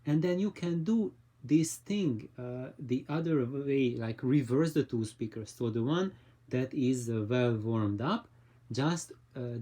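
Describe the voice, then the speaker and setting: A man giving an informal explanation to viewers as a video host, in a halting way with repeated and restarted phrases. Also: mid-range voice, dark tone. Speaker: audio reviewer and vlog host.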